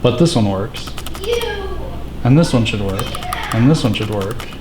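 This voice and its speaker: A man's voice: on the low side, expressive, a little slow. A man chatting casually and hands-on while he demonstrates a repair. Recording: noisy room with background sounds.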